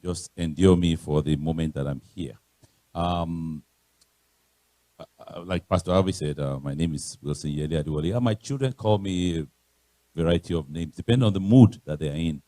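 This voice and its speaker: A middle-aged man with a Nigerian accent and a loud voice, giving a chatty, informal talk as a guest speaker in church. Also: normal speed, no echo. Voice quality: loud, booming voice. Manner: chatty, anecdotal, repeats phrases. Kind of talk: informal motivational talk to a congregation. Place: church podium.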